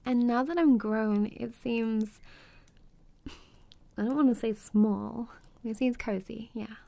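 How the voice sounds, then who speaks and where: A young woman playing a warm, playful best friend in an intimate ASMR roleplay, chatting casually with a giggly, teasing, hesitant manner. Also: a little high, slow, creaky, dry recording. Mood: welcoming, fond.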